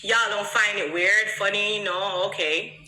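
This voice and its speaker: A woman's voice: medium pitch, steady tone, measured pace, pushed and bright, reverberant, rough recording. A woman from Jamaica with a Jamaican accent, speaking straight to a phone camera and pressing her critics with emphatic rhetorical questions. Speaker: artist answering critics. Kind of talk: direct-to-camera monologue.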